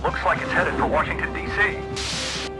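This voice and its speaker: An urgent military man, played by an actor in a video game's war reenactment. His clipped voice is high for a man, with music clearly behind it. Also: moderate pace, natural voice. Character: urgent male military officer reporting to general. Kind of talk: dramatic war reenactment acting.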